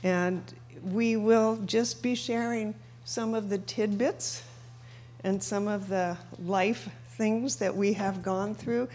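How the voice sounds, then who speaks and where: A woman opening a recovery workshop on a microphone in a meeting room, measured, with fillers. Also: medium pitch, fairly even intonation, darkish tone. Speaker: workshop organizer. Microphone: handheld microphone.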